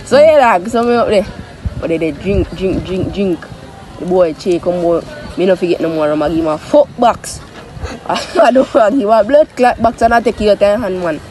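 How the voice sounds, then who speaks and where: A young man with a Jamaican accent telling stories casually to friends in an animated, repetitive, slangy way. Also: sits high, normal speed. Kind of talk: casual storytelling among friends. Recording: noisy outdoor recording with traffic.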